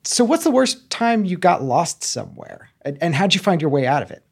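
A man's voice: high-pitched, sing-song, brisk, creaky, husky. A man interviewing a guest, asking curious, probing questions with casual fillers.

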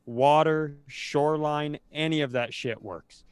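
A man's voice: on the high side, measured pace, clear, resonant tone, no echo. A man co-hosting a podcast, ranting casually in an exasperated, sweary, rambling way with frequent restarts. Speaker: podcast co-host.

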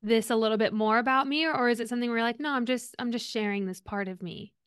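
A woman with a smooth, clear and fairly high voice, interviewing on a podcast. She sounds chatty and keenly interested, and the recording is dry with little room sound. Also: fast, a bit bright, no room sound. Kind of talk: casual conversational interview.

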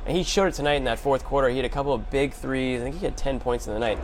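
A man's voice: mid-range voice, very fast, low-quality recording. Male sports host, mid-range voice, slangy conversational commentary, some background noise. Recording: clear background noise and hum.

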